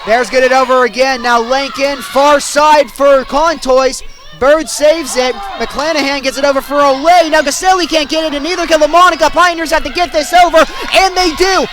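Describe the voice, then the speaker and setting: A young man calling live sports play-by-play in short, punchy, excitable phrases. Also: very high voice, normal speed, crowd noise. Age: young adult. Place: at sports venues, live during games.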